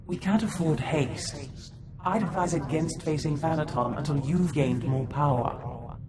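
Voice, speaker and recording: An actor playing a scheming Sith mentor who gives counsel in a video game cutscene, measured and formal. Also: mid-range voice, natural voice. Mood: calculating.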